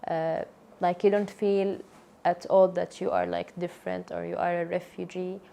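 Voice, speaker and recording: Mellow young woman from Syria. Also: fairly low pitch, level tone, normal speed, vocal fry. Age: 20s. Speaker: documentary interviewee. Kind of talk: personal storytelling in interview.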